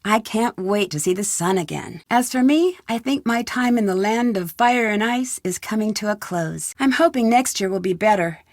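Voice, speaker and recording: A woman's voice: mid-range voice, lively intonation, moderate pace, hardly any pauses, dry recording, studio quality, natural voice. A woman playing a confident, polite young detective in a video game, composed, friendly and articulate. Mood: reflective.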